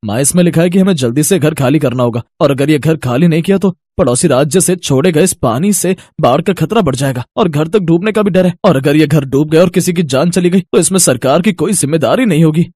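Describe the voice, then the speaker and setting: A man's voice: fairly high pitch, fairly quick, hardly any pauses, a little mellow, breathy edge, no echo, studio quality. An actor playing a weary but reassuring family man in a cartoon story, explaining with mild exasperation. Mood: worried, firm. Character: weary, reassuring husband and son. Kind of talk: cartoon moral story acting.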